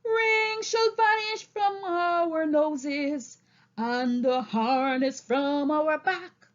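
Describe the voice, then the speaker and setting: A woman voicing an old male boar, a solemn, rousing orator addressing fellow animals in an audiobook, deliberate and emphatic. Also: sits high, dull audio. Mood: rousing, singing. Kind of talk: audiobook character speech and song.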